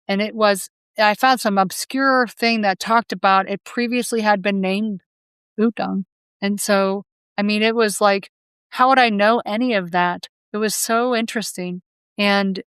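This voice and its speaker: Female hypnotherapist guest, chatty and meandering, crisp voice, dry close sound. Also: medium pitch, fairly bright tone, dry recording. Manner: rambling, with frequent restarts and self-corrections. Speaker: past life hypnotherapist guest. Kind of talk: conversational interview answers.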